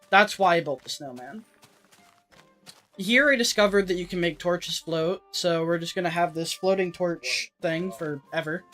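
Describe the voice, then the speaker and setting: A streamer chatting casually through a let's-play, rambling with lots of filler words and getting a little raspy. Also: mid-range voice. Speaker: streamer playing an old game version.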